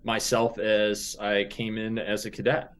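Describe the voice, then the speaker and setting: A man with a fairly low voice takes part in a tabletop role-playing game. He improvises casually, stopping and restarting as he mulls each point over aloud. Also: monotone, a little slow, fairly bright tone, smooth.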